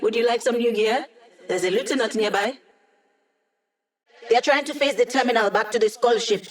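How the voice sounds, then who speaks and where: An actress voicing a sardonic young woman hacker who runs tech support for a squad, in video game voice lines with dry, deadpan humor. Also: on the high side, normal speed, natural voice. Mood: wry.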